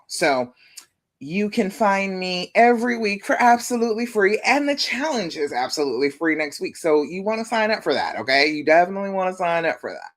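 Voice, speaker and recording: A woman relationship coach with a deep voice, talking casually and reassuringly to viewers on a phone livestream, often checking in with "okay?". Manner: warm, chatty, reassuring, tag questions like "okay?". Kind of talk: casual live-stream coaching talk. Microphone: phone live stream.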